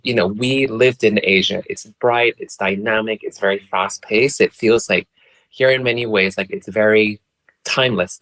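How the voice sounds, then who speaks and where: A polite young man from Brunei with a Canadian-tinged English accent, chatting as a podcast guest over a remote call, soft-spoken and hesitant with frequent restarts. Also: fairly low pitch, low-quality recording. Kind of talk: casual chat.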